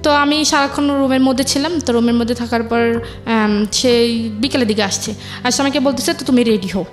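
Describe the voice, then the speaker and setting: Young woman, Bangladeshi accent, slightly high pitch. Interview guest, halting conversational storytelling over light music. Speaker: interview guest telling her life story.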